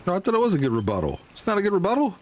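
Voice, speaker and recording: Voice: mid-range voice, huge pitch swings, rapid-fire, a little mellow, a little hiss or hum. A streamer giving casual, joking, informal reaction commentary while playing a video game.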